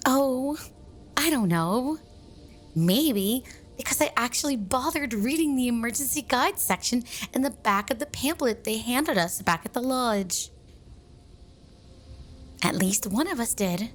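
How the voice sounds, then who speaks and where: A woman's voice: on the high side, lively intonation, unhurried, long pauses. Bossy, irritable mean girl, acted by a woman, over music. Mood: annoyed, sarcastic. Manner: exasperated, scolding, sarcastic. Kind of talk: ASMR roleplay audio drama.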